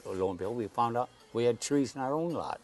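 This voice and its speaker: A man in his eighties, a guest on a talk show, speaking slowly and haltingly and repeating words as he searches for them. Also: medium pitch, lively intonation, crowd noise. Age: 80s. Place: Saipan.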